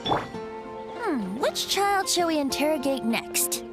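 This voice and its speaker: A playful young bard boy from a video game, high and animated. The delivery is whimsical and teasing with a touch of theatrical formality, over clear music. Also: slow pace, full character voice. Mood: amused, wary. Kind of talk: video game character acting.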